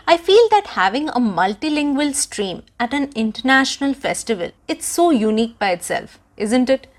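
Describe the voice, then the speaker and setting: A woman's voice: sits high, big pitch swings, normal speed. A woman hosting a podcast who reads a scripted introduction and asks the guest questions with enthusiasm. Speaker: podcast host.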